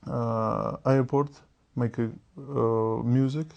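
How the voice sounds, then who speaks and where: A man from Russia with a Russian accent, a candidate in a speaking exam, talking in halting, broken phrases. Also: mid-range voice, fairly even intonation, vocal fry, darkish tone, dull audio.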